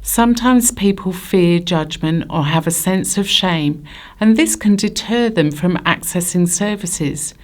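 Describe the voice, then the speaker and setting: A woman reading scripted informational narration in a measured, formal manner. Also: lowish voice.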